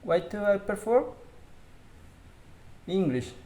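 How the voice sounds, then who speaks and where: A man whose first language is Spanish, slowly reading English question-and-answer drills aloud as a learner, with small grammar slips. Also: sits high, expressive, mellow. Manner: slow, careful practice reading with slips.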